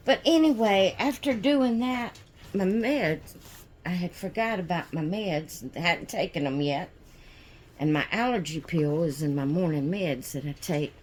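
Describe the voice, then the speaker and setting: A woman chatting casually in a home vlog, hoarse from sneezing, rambling with many fillers and restarts. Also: fairly low pitch, unhurried, a little mellow, a little hiss or hum. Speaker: doll channel vlogger. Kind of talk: casual chat. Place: at home indoors.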